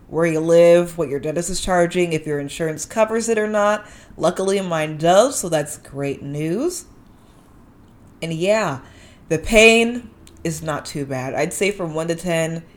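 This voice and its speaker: A woman hosting a podcast in a casual, slangy, conversational monologue, speaking with a slight lisp. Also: deep voice. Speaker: podcast host.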